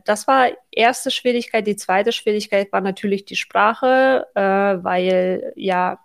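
A woman's voice: medium pitch, slow, vocal fry. A woman in her thirties from Uzbekistan, speaking as she tells her story in a casual, explanatory interview style. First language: not German. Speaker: interview guest.

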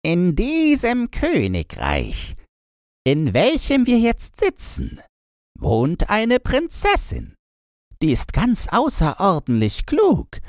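Storybook crow, played by a male reader: chatty, earnest, very slow and deliberate, close dry sound. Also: sits high, huge pitch swings, no echo, voice pushed up, heavily acted. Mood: gossipy, confiding. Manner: deliberate, drawn-out, storytelling delivery. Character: talkative, earnest crow telling palace gossip. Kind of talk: fairy-tale audiobook character voice.

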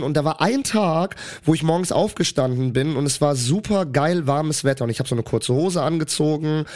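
A man's voice: a little high, a little mellow. A young man with a cold and a stuffy voice, chatting casually as co-host and rambling through stories with lots of fillers. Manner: rambling storyteller, many fillers. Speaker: podcast co-host. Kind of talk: casual chat.

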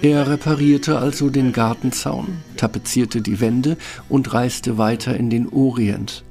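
Male storybook narrator: medium pitch, gravelly edge, wry and measured, faint music underneath. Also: steady tone, dark tone. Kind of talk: scripted fairy-tale narration.

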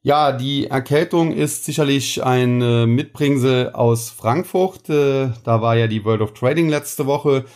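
A man's voice: mid-range voice, a little slow, few pauses, a little mellow. A man with a cold, hosting a podcast and explaining stock market news casually, with frequent filler sounds. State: has a cold. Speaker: podcast host.

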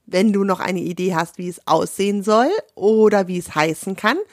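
A hoarse woman with a cold, hosting a children's podcast and reading a story aloud in a friendly, expressive way. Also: medium pitch, dry recording, clean studio sound.

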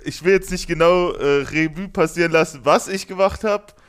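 A man's voice: fairly high pitch, moderate pace, clear, resonant tone. A man, co-hosting a podcast in joking, slangy casual chat. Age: 20s. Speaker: podcast co-host.

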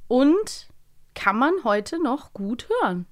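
A woman's voice: on the high side, animated, slow. A woman co-hosting a podcast, chatting casually, often reacting with short interjections and asking questions. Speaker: podcast co-host. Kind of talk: casual chat.

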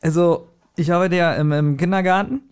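A man's voice: high voice, steady tone, fairly quick, clean tone. A man, chatting casually as a podcast co-host in a colloquial, hesitant and reflective way. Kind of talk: casual chat.

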